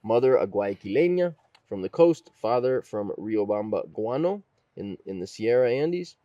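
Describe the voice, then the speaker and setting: A man with a fairly low voice hosts a casual podcast. He tells stories conversationally and voices other people's remarks as he goes. The sound is close and dry. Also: animated, normal speed, dark tone, no room sound, dull audio. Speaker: podcast host.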